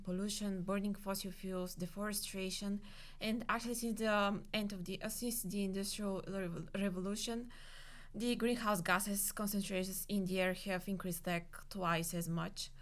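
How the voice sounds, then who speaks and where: A woman in a student podcast, reading a prepared segment with frequent fillers and restarted words. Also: medium pitch.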